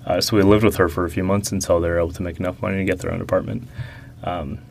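A young man answering interview questions about growing up, talking slowly and reflectively with many fillers and restarts. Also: low voice, little pitch movement, gravelly, slight background noise.

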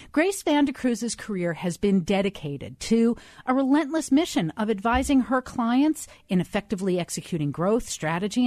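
A woman's voice: on the high side, no echo. A woman hosting a conversational interview, speaking as the presenter. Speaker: interview host. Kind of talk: conversational broadcast interview with scripted introduction.

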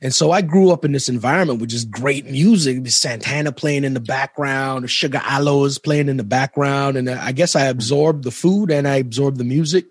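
Husky-voiced laid-back man. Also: mid-range voice, moderate pace, hardly any pauses, no room sound, studio quality. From Trinidad. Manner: laid-back, rambling, laughs often. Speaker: musician and chef guest. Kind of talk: casual interview chat.

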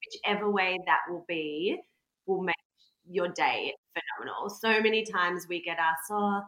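A woman's voice: on the low side, measured pace, a bit bright. A woman hosting a podcast interview, talkative and asking long run-on questions. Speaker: podcast host. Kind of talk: casual interview chat. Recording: roomy echoey sound.

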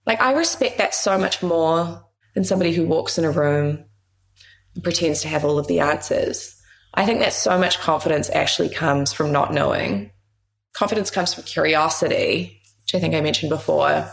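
Low-pitched new lady, kinda gravelly, talks things through with lots of "kind of". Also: moderate pace, poor audio. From New Zealand. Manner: thoughtful, frequent hedges like "kind of". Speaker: guest, founder and consultant. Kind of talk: reflective interview conversation.

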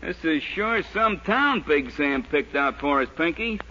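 A man's voice: on the high side, expressive, unhurried, sharp tone, soft background music. An actor playing a grumbling, eager small-time bookie crook with slangy tough-guy talk in 1940s radio comedy style. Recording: dull old radio recording, long reverb.

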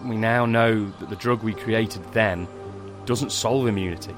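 An actor playing a guilt-ridden scientist, speaking haltingly and gravely in a science fiction audio drama. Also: male voice, on the low side, natural voice. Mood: confessing. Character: guilt-ridden male scientist confessing a secret. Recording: music under the dialogue.

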